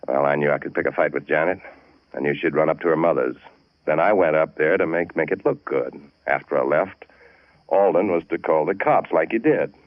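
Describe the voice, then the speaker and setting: An actor playing a cold, cynical, scheming young husband in 1940s radio drama style. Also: male voice, extremely low pitch, brisk, no echo. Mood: matter-of-fact confession. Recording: tinny, band-limited old radio recording.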